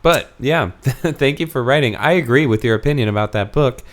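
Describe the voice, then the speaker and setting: A man hosting a casual podcast, chatting with joking, deadpan asides. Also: mid-range voice, moderate pace. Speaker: podcast host. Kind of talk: casual chat.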